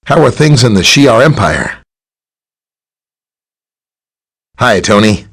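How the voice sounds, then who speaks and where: An actor playing a helpful, earnest scientist superhero in a video game, explaining technical matters in a friendly way. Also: male voice, lowish voice, very animated, moderate pace, fairly bright tone, slightly rough, full character voice. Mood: friendly, welcoming. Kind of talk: scripted game dialogue, explaining and briefing.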